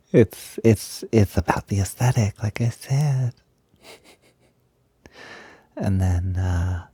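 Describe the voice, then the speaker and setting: A gentle, enthusiastic young male roommate played by a man speaks in a low, husky, breathy voice, close and dry. He is soft and hesitant, giggly and reassuring, as in an intimate conversational audio roleplay. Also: dry recording, heavily acted.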